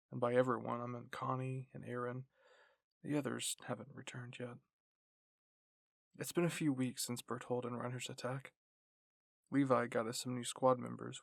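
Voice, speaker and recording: A man reading a scripted first-person story in ASMR style, softly and in measured phrases. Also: medium pitch, gravelly, dry recording. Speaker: narrator and reader.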